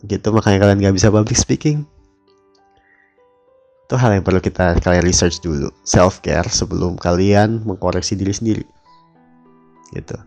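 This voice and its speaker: A young male radio host with a deep, mellow voice, talking alone on a late-night show. He has the flu and talks casually and earnestly, now and then hunting for the right word. Soft music plays faintly behind him. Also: normal speed, vocal fry, long pauses. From Depok, West Java. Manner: casual, searching for words, heartfelt.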